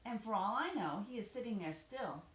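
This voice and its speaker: Female children's storybook reader, animated and expressive, dramatic emphasis, roomy sound. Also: medium pitch, fairly quick. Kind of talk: expressive read-aloud of a children's picture book. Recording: roomy echo, faint background noise.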